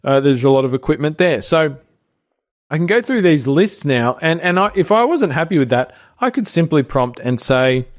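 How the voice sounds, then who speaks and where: Australian man explaining with lively pitch. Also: normal speed. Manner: relaxed and explanatory, thinking aloud with fillers. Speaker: co-host of a business training show. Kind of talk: screen-share tutorial.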